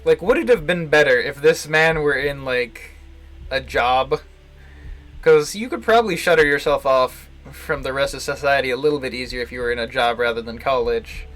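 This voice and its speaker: Male streamer, bright forceful voice, casual game commentary, wry halting phrasing, music behind. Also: mid-range voice. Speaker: streamer playing a visual novel. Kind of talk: casual live playthrough commentary.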